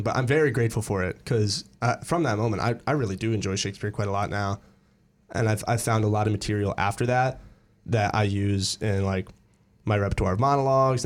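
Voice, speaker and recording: A young man from Pennsylvania, a musical theatre student, chatting as a guest on a radio interview and telling stories in an animated way. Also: fairly low pitch. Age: young adult. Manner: animated storyteller, quick with restarts. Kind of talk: casual radio interview chat.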